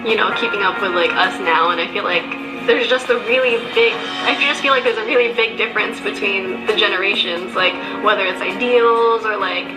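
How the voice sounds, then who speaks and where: A woman's voice: medium pitch, brisk, hardly any pauses. A young woman answering interview questions hesitantly, with many fillers. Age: young adult. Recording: roomy interview clip with loud music.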